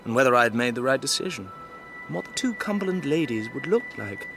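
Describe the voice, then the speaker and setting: A man's voice: mid-range voice, sing-song, fast, creaky, music in the background. A man with an English accent playing a courteous, earnest young drawing teacher of 1849, narrating and speaking formally in a literary audio drama. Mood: brooding, intrigued. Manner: well-spoken, formal. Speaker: narrator and lead actor.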